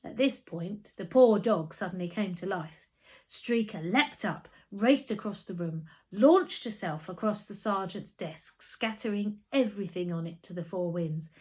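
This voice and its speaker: A woman reading a children's story aloud as an expressive narrator. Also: medium pitch.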